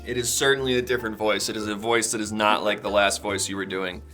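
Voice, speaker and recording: A man playing a patient, deadpan casting director who explains things drily in an improvised comedy scene. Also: fairly low pitch, quick pace, faint music behind.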